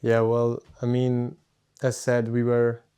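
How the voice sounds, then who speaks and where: A man's voice: medium pitch, monotone, slow pace, mellow, clean tone. A young man from Hungary, raised partly in Austria, casually telling his story as a podcast guest. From Hungary, moved to Austria aged seven. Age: teens to young adult.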